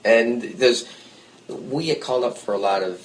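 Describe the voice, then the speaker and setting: A man, a guest musician, telling lively stories in a casual podcast conversation with jokey asides. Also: mid-range voice, normal speed, a little hiss or hum, poor audio. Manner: storytelling, animated, jokey asides.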